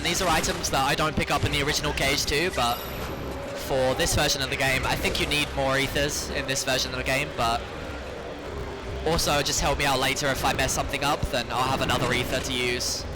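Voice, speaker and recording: Slightly hoarse man commentating a speedrun. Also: on the high side, a little fast. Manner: casual, explanatory, steady running walkthrough. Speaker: speedrunner commentating his run. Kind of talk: live gameplay commentary. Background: loud game music.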